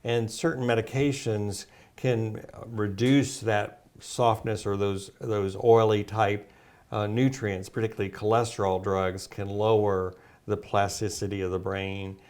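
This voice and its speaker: A man's voice: fairly low pitch, flat delivery, unhurried, a little mellow. A man speaking as a naturopath guest, explaining at length with many fillers and restarts. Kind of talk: casual health talk.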